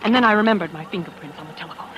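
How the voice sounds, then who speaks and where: An actress playing a shaken young wife who narrates a murder discovery in a hushed, dramatic 1940s radio style. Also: female voice, on the low side, racing, dull audio, a little hiss or hum, close to an everyday voice. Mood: haunted, tense. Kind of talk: 1940s radio acting, first-person suspense narration. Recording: old radio recording with music underneath.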